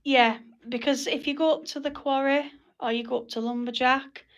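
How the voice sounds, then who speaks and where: Female meeting leader, higher-pitched, creaky, explains hesitantly in an informal way. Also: fairly even intonation, moderate pace. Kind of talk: informal group meeting talk.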